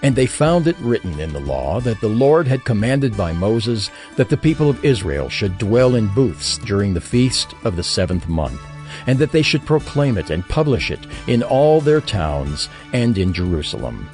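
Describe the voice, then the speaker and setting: A man reading scripture as the narrator of an audio drama, in a measured, formal manner. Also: on the low side, very animated, a little mellow, soft background music.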